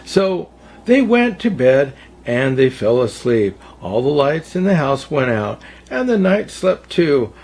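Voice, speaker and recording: A man reading a children's storybook aloud as a storyteller, in a theatrical, deliberate way. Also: medium pitch, big pitch swings, darkish tone. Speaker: storyteller, Santa story-time host.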